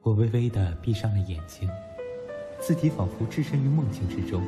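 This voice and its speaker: A man performs a young male student in an audio drama, nostalgic yet proud and teasing. His low voice moves expressively between reflective narration and dialogue. Music plays clearly behind him. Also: lively intonation, fairly quick, close to an everyday voice. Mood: dreamy narration. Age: young adult. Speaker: lead actor and first-person narrator.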